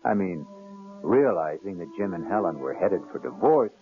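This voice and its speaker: A man's voice: sits low, big pitch swings, moderate pace. A man narrating the close of a radio drama as its host, in a wry, conversational way with sly asides. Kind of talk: scripted closing narration. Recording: tinny old radio broadcast with music behind.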